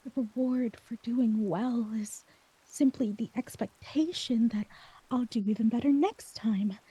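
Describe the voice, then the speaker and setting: A woman performing an emotional ASMR monologue as an exhausted, anxious character, speaking softly and haltingly, often near a whisper. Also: fairly high pitch, husky, low-quality recording. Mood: anxious, fragile. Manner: slow, halting, vulnerable delivery. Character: exhausted, anxious woman crushed by others' expectations. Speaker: audio roleplay performer.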